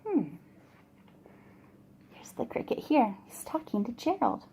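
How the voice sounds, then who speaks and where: A woman reading a picture book aloud for young children in an expressive, child-directed way. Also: fairly high pitch, takes it slowly, gravelly, slight background noise. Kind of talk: slow read-aloud storytelling for young children.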